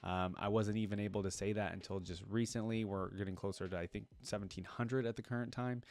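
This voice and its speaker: A man's voice: fairly low pitch, steady tone, brisk, darkish tone. A man hosting a reaction channel, giving casual off-the-cuff commentary in a rambling, conversational way, thinking aloud. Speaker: reaction video creator, channel host.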